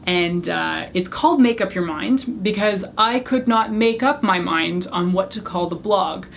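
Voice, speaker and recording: A woman's voice: medium pitch, measured pace, gravelly, slight background noise. A young woman whose first language was French, chatting candidly to the camera as she answers a tag of questions. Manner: chatty, candid, self-deprecating. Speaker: beauty YouTuber. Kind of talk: casual Q&A tag video.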